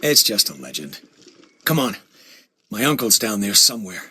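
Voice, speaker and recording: Terse, bright-edged frontier hero. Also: male voice, fairly low pitch, sing-song, moderate pace, husky, full character voice. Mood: dismissive. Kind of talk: dramatic video game cutscene acting.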